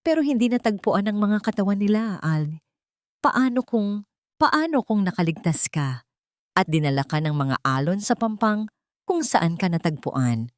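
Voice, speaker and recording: A woman voicing an earnest, worried man in an audio romance story, speaking gravely and hesitantly with emphasis. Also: medium pitch, sing-song, moderate pace, darkish tone, no echo, clean studio sound, natural voice.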